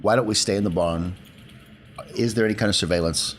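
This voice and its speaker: A man's voice: lowish voice, a little fast, soft background music. A man talking casually as a player in a roleplaying game, reasoning through plans.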